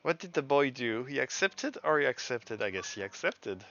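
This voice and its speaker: A man's voice: mid-range voice, sing-song, moderate pace, pushed and bright, muffled recording, soft background music. A man giving casual, hesitant gameplay commentary, thinking aloud and sometimes reading text out. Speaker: game streamer and the game's creator.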